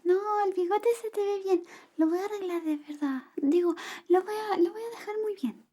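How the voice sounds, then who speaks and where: A woman speaking softly and often whispering in an ASMR roleplay, playing an affectionate, playful best friend. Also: very high voice, moderate pace. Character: affectionate, clumsy female best friend giving a shave. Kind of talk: ASMR personal attention roleplay.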